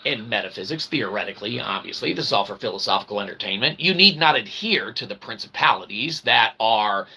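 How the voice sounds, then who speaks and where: A man's voice: on the low side, animated, brisk, few pauses, sharp tone, breathy edge. A man giving a rambling, tongue-in-cheek lecture in wordy mock-academic phrasing, checking in often with small tags. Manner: wordy mock-academic phrasing with frequent "all right, okay" check-ins. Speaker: online philosophy show host.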